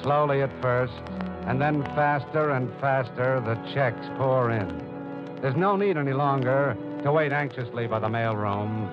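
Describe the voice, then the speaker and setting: An actor playing an earnest radio drama narrator, speaking gravely and deliberately in a theatrical style. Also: male voice, medium pitch, natural voice. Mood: building excitement. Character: earnest dramatic male narrator addressing the heroine as you. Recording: dull old radio recording, music bed.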